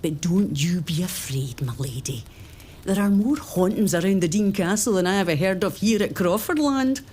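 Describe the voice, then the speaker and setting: Woman playing an older Scottish nursemaid, motherly, confiding, lively melody, faint noise. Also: lowish voice, moderate pace, lightly acted. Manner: hushed, confiding storytelling.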